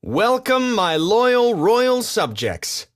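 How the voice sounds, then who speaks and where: A man voicing an excitable, self-important lemur king with a pompous, showy manner for a children's storybook. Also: sits high, very slow, voice pushed up, full character voice. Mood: imperious, excited.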